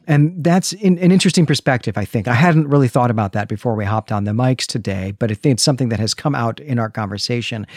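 A man's voice: medium pitch, no room sound, clean studio sound. A middle-aged man co-hosting a podcast, explaining his thoughts conversationally and reflectively, often checking for agreement. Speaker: podcast co-host. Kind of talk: conversational analysis.

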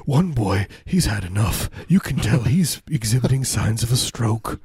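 A man with a hoarse, husky voice and a mellow, soft-edged tone, recorded dry and close. He rambles through absurd riffs like a comedy podcast host chatting casually. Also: medium pitch, expressive, moderate pace, no echo. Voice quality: husky, scratchy. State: losing his voice, hoarse.

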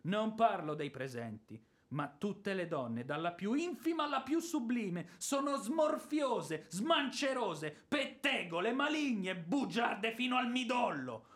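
An actor playing a blustering, indignant former army officer in a radio drama, declamatory and sarcastic. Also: male voice, high-pitched, fairly bright tone. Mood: scornful, mocking. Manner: declamatory, sarcastic, prone to bellowing. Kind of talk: theatrical Italian radio drama acting.

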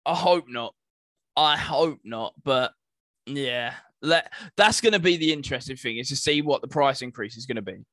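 A man's voice: medium pitch, expressive, normal speed, fairly bright tone, no room sound. A man co-hosting a podcast in casual, conversational chat. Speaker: podcast co-host.

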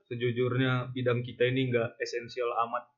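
Young man, medium pitch, blunt profane slang, casual podcast banter, dull lo-fi audio. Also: monotone, normal speed, crowd noise. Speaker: podcast panelist.

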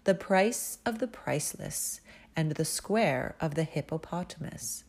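A woman voicing a pompous, pedantic old royal mathematician, speaking slowly and precisely in a storybook reading. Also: lowish voice, darkish tone. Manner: pompous, slow and precise, reciting lists.

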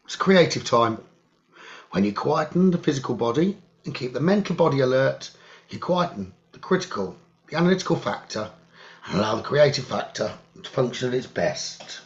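A man's voice: medium pitch, expressive. Man guiding a meditation, slightly muffled recording. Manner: measured, hushed delivery in short phrases with pauses. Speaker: meditation teacher leading a guided meditation. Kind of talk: slow guided meditation, soothing instruction.

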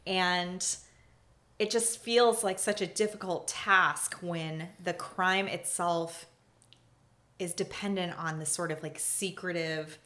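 Soft-voiced woman with a bright tone. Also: lowish voice. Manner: thoughtful, hesitant, many fillers and restarts. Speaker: prosecutor, podcast guest. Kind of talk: casual conversation.

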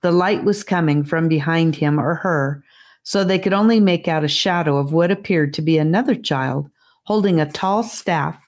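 A woman reading a fantasy story as an audiobook narrator, in a measured storybook manner. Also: deep voice, a little mellow.

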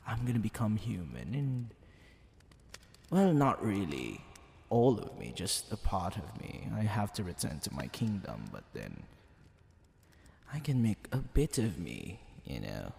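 Seductive demon king, performed by a man, gravelly, wildly swooping delivery, theatrical drawl, heavy reverb. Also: lowish voice, very animated, unhurried, a little mellow, exaggerated voice. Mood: sly, scheming. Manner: drawling, theatrical, with whispered asides. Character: teasing, seductive, smug male demon king. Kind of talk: ASMR roleplay. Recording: long reverb, faint background noise.

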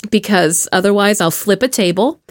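A woman's voice: medium pitch, normal speed. A woman hosting a podcast in casual conversation, playful and self-deprecating. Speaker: podcast host. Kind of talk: casual interview chat.

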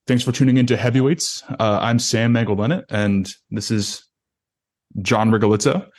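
A man's voice: medium pitch, normal speed, a little mellow. A man hosting a podcast in a casual, conversational interview style. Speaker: podcast host.

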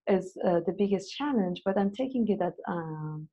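A woman with a Persian accent and Persian as her first language, chatting in an interview in a halting, hesitant way. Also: medium pitch, animated, warm tone, lo-fi sound. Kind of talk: casual interview conversation.

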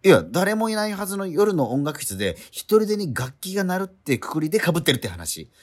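Incredulous schoolboy straight man, swooping pitch. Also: big pitch swings. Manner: incredulous, quibbling, rapid retorts. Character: exasperated male schoolmate, the straight man of a comedy duo. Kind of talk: comedic radio drama dialogue.

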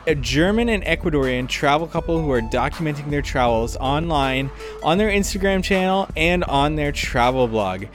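A man hosting a travel podcast, reading a scripted intro and then asking interview questions with upbeat energy. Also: on the high side. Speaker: podcast host.

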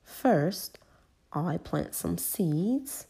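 A woman reads as a kindly old grandfather pig in a children's storybook. The delivery is slow and measured, explaining simply in a mellow tone. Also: lowish voice, animated, creaky, husky, heavily acted.

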